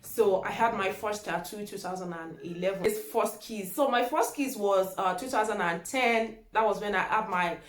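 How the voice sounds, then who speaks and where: A woman's voice: medium pitch, normal speed, hardly any pauses. A woman of about thirty with a Nigerian accent, a native Yoruba speaker, chatting casually as a vlogger and repeating words for emphasis. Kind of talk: casual chat answering tag questions. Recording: echoey room.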